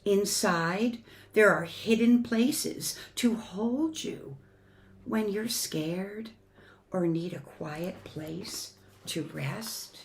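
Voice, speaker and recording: A woman reading a children's picture book aloud in an expressive, deliberate way, as a church storyteller. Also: medium pitch, slight background noise. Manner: expressive, deliberate, shifting between emphatic and hushed. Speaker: church family ministry storyteller.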